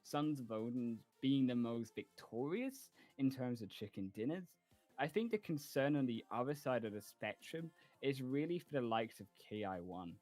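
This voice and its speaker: A man's voice: mid-range voice, lively intonation, moderate pace, darkish tone, no echo, soft background music. A man casting esports, analyzing teams fluently and confidently and closing the broadcast. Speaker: esports caster.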